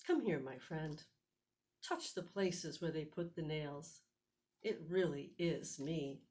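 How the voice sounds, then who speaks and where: A woman voicing a kind, patient male teacher in a children's storybook, speaking in a deliberate, reassuring way. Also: low voice, lively intonation, darkish tone. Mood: gentle, encouraging.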